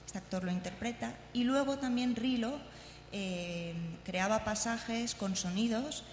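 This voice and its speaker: A woman from gives an informal talk introducing audio clips, haltingly and repeating words as she explains. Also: fairly high pitch. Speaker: conference speaker. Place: large indoor congress hall. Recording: echoey hall, long reverb, faint noise.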